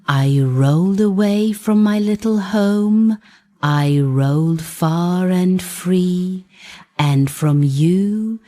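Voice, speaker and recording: A woman plays a plucky little round bun from a storybook. It recites its poem in slow, singsong lines, with a lilting and expressive pitch. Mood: pleading, proud.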